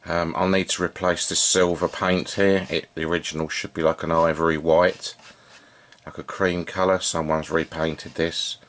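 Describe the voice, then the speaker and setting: A man giving an unscripted, informal hands-on demonstration, thinking aloud in a halting way as he works as a hobbyist repairer. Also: low voice, flat delivery, a bit bright. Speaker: vintage radio repair hobbyist and video presenter.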